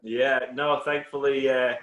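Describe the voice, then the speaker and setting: A Scottish man whose accent has softened a little, a football coach talking as a guest on a video call in a relaxed, reflective way with many fillers. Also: medium pitch, measured pace, roomy sound, rough recording. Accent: Scottish, slightly Americanised. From Scotland. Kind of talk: casual interview on a call.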